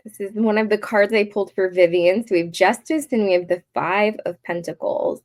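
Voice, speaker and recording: A woman in her early forties co-hosting a casual chat about a film, chatty and quick to laugh. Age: early 40s. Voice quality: higher-pitched voice. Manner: laughs often, chatty and animated. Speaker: podcast co-host.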